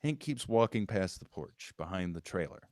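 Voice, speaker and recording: A man's voice: sits low, lively intonation, normal speed, darkish tone, dry recording, studio quality. A man reading screenplay stage directions aloud as scripted narration at a table read.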